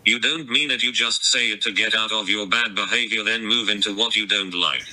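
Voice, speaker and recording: A man's voice: lowish voice, brisk, bright, forceful tone, poor audio. A man voicing a blunt, combative adult woman through a robotic voice changer, with curt replies and swearing. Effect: robotic voice changer on the phone.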